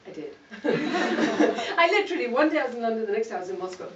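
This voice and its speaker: A woman's voice: on the high side, very animated, quick pace, crowd noise. A middle-aged woman from Malawi giving a candid, self-mocking talk to an audience and laughing often. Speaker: guest speaker. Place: event hall. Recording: echoey hall, distant mic, dull sound, noisy.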